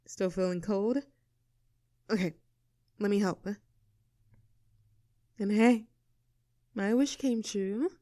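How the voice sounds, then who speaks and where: A woman's voice: medium pitch, mellow, no echo, clean studio sound, natural voice. A woman playing an easygoing young tomboy with a secret crush in an intimate audio roleplay, casual, teasing and tender in manner. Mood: tender, shy. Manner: casual, teasing, tender, halting when flustered.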